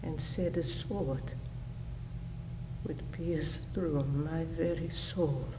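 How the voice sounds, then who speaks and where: A woman playing a grieving, devoted mother in a solemn dramatic monologue, with halting, emotional delivery and breathy pauses. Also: low voice, steady tone, background noise. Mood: tender, hushed reverence. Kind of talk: slow dramatic stage monologue.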